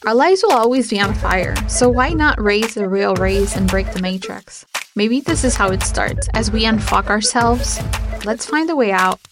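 A woman's voice: mid-range voice, expressive, moderate pace. A woman hosting a podcast, delivering a punchy, irreverent intro with casual swearing, then a disclaimer. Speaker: podcast host. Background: music.

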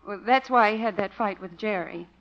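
An actress playing a composed, earnest schoolteacher, polite and gently admonishing, in 1950s radio comedy. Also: female voice, medium pitch, normal speed, close to an everyday voice. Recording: dull old 1950s radio recording.

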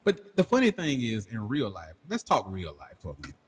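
A man's voice: on the low side, wildly swooping pitch, brisk, slightly rough. A man of about forty, chatting casually as a podcast co-host, laid-back and laughing often. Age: 40s. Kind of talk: casual chat.

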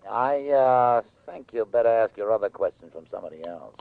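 Gravelly, guarded nightclub owner. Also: male voice, fairly low pitch, animated. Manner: slow, wary, understated. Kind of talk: 1950s radio crime drama acting. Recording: tinny vintage radio broadcast.

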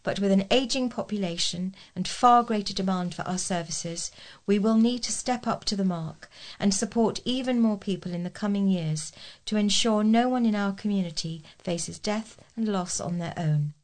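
A woman's voice: medium pitch, normal speed. A woman reading scripted local news as a volunteer newsreader.

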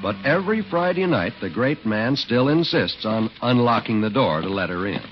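Animated 1940s radio announcer man. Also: medium pitch, moderate pace, a little hiss or hum. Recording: dull 1940s radio recording. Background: music.

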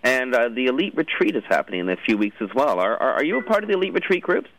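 A man hosting a radio show, asking questions in a casual, halting way and often rephrasing as he goes. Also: medium pitch, very animated, a bit bright, slight background noise, poor audio. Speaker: radio show host interviewing a guest. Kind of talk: casual interview.

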